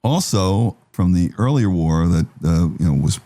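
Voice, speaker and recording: Deep-voiced, mellow male interviewer. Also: expressive, moderate pace. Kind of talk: conversational interview.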